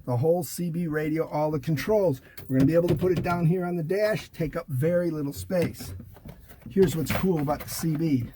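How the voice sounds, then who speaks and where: A man's voice: on the high side, brisk, background noise. An older man giving a casual hands-on install demonstration in short, folksy, punchy phrases, recorded inside a vehicle. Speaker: DIY vlog host. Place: inside a parked Jeep.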